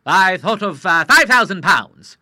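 A man voicing a hot-tempered retired admiral of sixty-three, speaking loudly and with affront. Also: high voice, expressive, measured pace, dry recording, studio quality, pitched above the performer's own voice, full character voice. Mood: indignant. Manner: loud, blustering and affronted.

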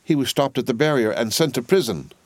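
A man's voice: mid-range voice, lively intonation, a little fast, no room sound, voice pushed up. A male narrator puts on a young wife in a classic novel, frightened and imploring. The voice is lifted well up, with panting, pleading delivery in a dry recording. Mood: distraught. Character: distraught, imploring young wife, devoted and fearful.

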